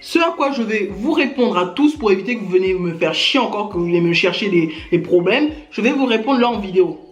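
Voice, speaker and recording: A woman's voice: medium pitch, animated, fairly quick, muffled recording. Woman from gabon venting at critics on camera, fired up, roomy echo and a bit of music behind. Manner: indignant, addresses critics directly, many fillers like "hein" and "genre". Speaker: YouTuber. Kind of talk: ranting to camera. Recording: echoey room with faint music.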